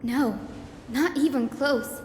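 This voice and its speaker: High-pitched, polite young female scholar. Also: slow, a little mellow, a little hiss or hum. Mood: explaining. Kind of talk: scripted video game voice acting, storytelling dialogue. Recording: echoey reverberant voice over game music.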